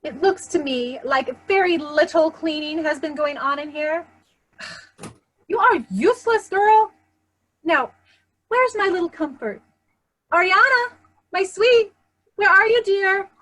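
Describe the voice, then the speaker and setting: A woman's voice: very high voice, takes it slowly, long pauses, fairly bright tone, heavily acted. A woman playing a haughty, imperious stepmother who scolds and coos in a children's theatre staged reading. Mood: sharp, scolding. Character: haughty, bossy stepmother, doting on her favourite daughter.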